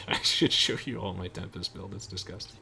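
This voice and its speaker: Bright-voiced young man chatting over gameplay. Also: deep voice, measured pace, vocal fry, slight background noise. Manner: chatty, explanatory, with hesitant trailing asides. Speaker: gamer hosting a let's play. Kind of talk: casual gameplay commentary with friends.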